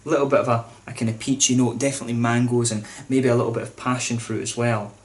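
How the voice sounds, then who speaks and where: A man's voice: medium pitch, little pitch movement, quick pace. A man, chatting casually to camera as he reviews a beer, with lots of fillers and restarts. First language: English.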